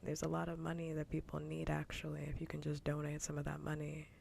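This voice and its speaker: A woman streamer chatting casually in a soft, breathy ASMR whisper close to the microphone, with a wry, conversational way of griping. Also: low-pitched, completely flat delivery, quick pace, slight background noise. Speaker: streamer hosting a live ASMR show.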